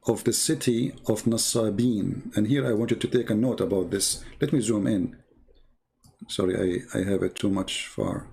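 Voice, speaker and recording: Sardonic man with a creaky, gravelly voice. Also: on the low side, a little mellow. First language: not English. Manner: sardonic, mocking. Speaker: commentator presenting religious texts. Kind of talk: informal polemical commentary, retelling and reading aloud.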